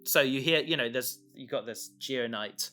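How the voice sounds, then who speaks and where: A man in a casual podcast discussion, explaining his creative work in a halting way, thinking aloud. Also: mid-range voice, sharp tone, soft background music. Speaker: audio drama creator.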